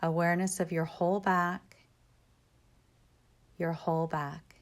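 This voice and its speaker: A woman guiding a yoga nidra meditation in a calm, measured, instructional way, with short cues and pauses. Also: on the low side.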